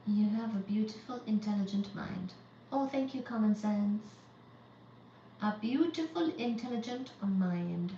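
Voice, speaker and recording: Slow-talking woman in her thirties. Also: mid-range voice, expressive, mellow, slight background noise. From Central Asia. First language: Uzbek. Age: 30s. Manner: reads viewer comments aloud and greets them one by one. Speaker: livestream host. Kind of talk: live-stream chat. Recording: dull, roomy, distant from the mic.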